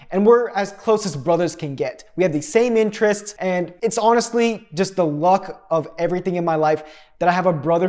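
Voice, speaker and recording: A man's voice: high-pitched, a little mellow. A man in his twenties from the United States, narrating his own life story in a blunt, candid, scripted way as a fitness influencer. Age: 20s.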